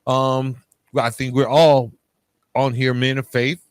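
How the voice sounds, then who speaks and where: A man's voice: mid-range voice, flat delivery, slow, smooth, no echo. Casual male podcast host, dry sound. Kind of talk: conversational interview with reflective commentary.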